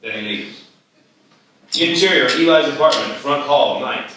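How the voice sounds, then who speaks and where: A man narrates stage directions at a live script reading. His voice is bright and comes from away from the mic, in a very echoey hall with some ambient noise. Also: on the high side, lively intonation, normal speed, far from the mic.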